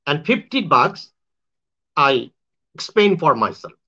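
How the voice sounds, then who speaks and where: A man's voice: fairly high pitch, big pitch swings, takes it slowly, sharp tone. A man from Bangladesh with a Bangladeshi English accent, hosting an online show in a casual, rambling monologue to his viewers, full of restarts and grand boastful claims. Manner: rambling, with many restarts and self-corrections. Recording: dull lo-fi sound.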